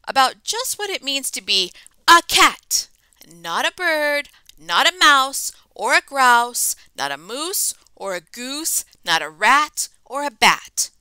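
A woman voicing a stern cat mother scolding her kitten in a loud, sing-song lecture. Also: high voice, big pitch swings, slow, dry recording, pitched above the performer's own voice, exaggerated voice, rough recording.

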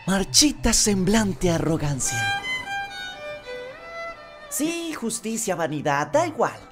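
Lady doing a theatrical young cartoon tomcat rogue, latin american accent, unhurried, swoopy delivery, music behind. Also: medium pitch, huge pitch swings. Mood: grandiose, musing. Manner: grandiose, melodramatic, theatrical. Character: flamboyant, mischievous, theatrical young male cat bootlegger. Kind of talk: animated cartoon fan dub acting.

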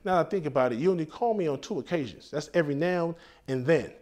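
A man's voice: on the high side, animated, racing, darkish tone, close to an everyday voice. An American man in his thirties playing a laid-back, brazen late-night hookup guy in a comedy sketch, talking in street slang. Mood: indignant. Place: furnished indoor room, filmed sketch.

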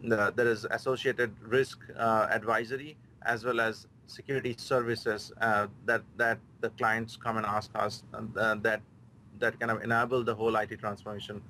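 Bright-voiced man speaking haltingly. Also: on the low side, flat delivery, a little hiss or hum, low-quality recording. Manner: halting, many restarts. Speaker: chief architect. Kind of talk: recorded interview answer.

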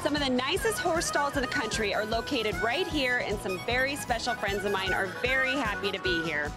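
Bubbly woman host, high and lively. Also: fairly quick, over loud music. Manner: bubbly, chatty, playful. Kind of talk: upbeat hosting and promo presenting.

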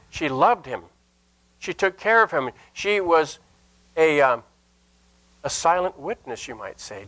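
An older man, a Lutheran pastor, preaching a sermon in an earnest, emphatic manner with deliberate pauses and repeated phrases. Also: on the high side, a bit bright, rough recording. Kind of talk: sermon with scripture and anecdote. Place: indoor church sanctuary at a lectern.

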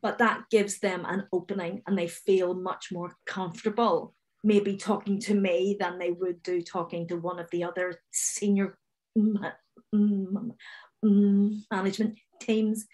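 Northern Irish woman, 40s, video call panellist; open stammer with repeats and blocks, unhurried, self-assured. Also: medium pitch, little pitch movement, darkish tone, rough recording. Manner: confident, direct. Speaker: webinar panellist, finance manager. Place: home room, indoors. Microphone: video call webcam.

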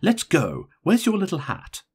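A man's voice: high-pitched, huge pitch swings, a little slow, a little mellow, breathy edge, pitched above the performer's own voice, exaggerated voice. A man voicing a brash, irritable married woman in an audiobook, blunt, emphatic and sarcastic. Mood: weary, flippant. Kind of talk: audiobook character voice.

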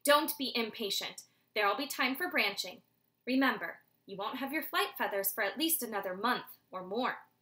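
A woman's voice: a little high, animated, normal speed, pushed and bright. A woman voicing a hearty, doting father barn owl in a storybook read-aloud. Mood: admonishing.

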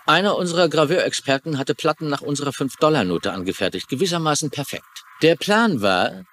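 A grave government agent in a TV crime drama. His voice sits fairly high and moves expressively. He explains things with urgency. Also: expressive, fairly quick, a bit bright, slight background noise. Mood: grave, urgent. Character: grave government agent briefing officers on a secret case. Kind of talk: dubbed TV crime drama acting.

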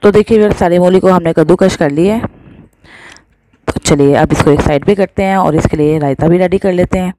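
Lady doing a cooking voice-over, low mellow voice, keeps saying look viewers. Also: a little fast, slight background noise, poor audio. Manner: friendly, addresses viewers often, mixes in English words. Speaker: cooking channel host. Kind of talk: step-by-step recipe voice-over.

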